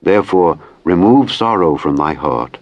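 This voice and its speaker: A man reads scripture as a narrator, in a solemn, measured, formal style. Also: sits low, lively intonation, no echo, dull audio. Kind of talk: solemn scripted Bible reading.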